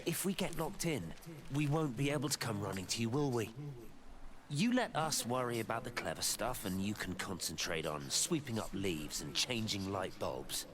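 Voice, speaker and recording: A man's voice: fairly low pitch, very animated, moderate pace, crowd noise. Irritable, sarcastic man scientist. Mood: irritated, scornful. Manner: sarcastic, condescending. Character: irritable, cutting male observatory scientist. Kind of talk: video game voice acting.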